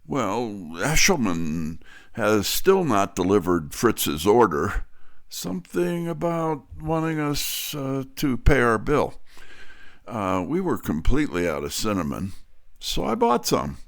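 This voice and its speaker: A man plays a grumbling, wisecracking private detective's assistant in an audio drama. The voice is middle-pitched with plenty of fry and animated movement. His delivery is sardonic. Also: takes it slowly, exaggerated voice. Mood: sarcastic.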